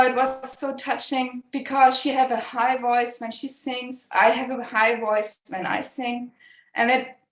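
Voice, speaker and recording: A woman from Germany, calling in over a video call and speaking in a halting, heartfelt way with frequent pauses. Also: a little high, echoey room, poor audio. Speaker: caller. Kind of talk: emotional call-in sharing.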